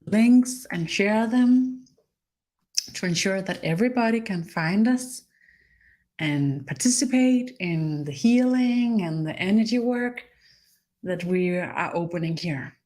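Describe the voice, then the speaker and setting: Danish-accented woman, native Danish speaker, leading a spiritual healing stream, very unhurried, pausing often. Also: medium pitch, expressive, darkish tone. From Denmark. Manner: slow, halting, searching for words. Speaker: energy healer. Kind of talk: live-streamed spiritual session.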